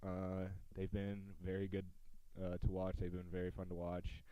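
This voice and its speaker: A man's voice: low-pitched, completely flat delivery, normal speed, dark tone, clear, resonant tone, no echo, dull audio. An American man hosting a podcast, talking sports in a casual, conversational style. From United States.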